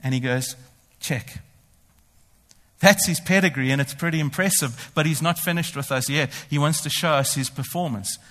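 A man preaching with an animated, ironic delivery that switches into first-person boasting. His voice sits a little high for a man. The sound carries a long hall reverb, as if from a church. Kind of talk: sermon.

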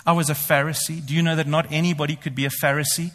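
Animated preacher, long hall echo. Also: male voice, a little high, fast talker. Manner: animated, speaks in the first person as the apostle, ironic boasting. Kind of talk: sermon.

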